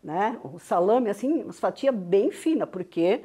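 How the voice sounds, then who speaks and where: An older Brazilian-born woman with a heavy Italian Veneto-influenced accent, unhurried and reflective as an interview guest recounting her life. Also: medium pitch, animated, breathy edge. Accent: Italian Veneto-influenced Brazilian Portuguese. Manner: unhurried, reflective, warmly proud. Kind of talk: reminiscing storytelling.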